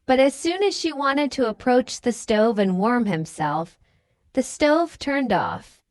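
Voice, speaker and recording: A woman reads a children's storybook in a lively, varied tone. Her pace is unhurried and the sound is close and dry. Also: fairly high pitch, no room sound. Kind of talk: scripted storybook narration.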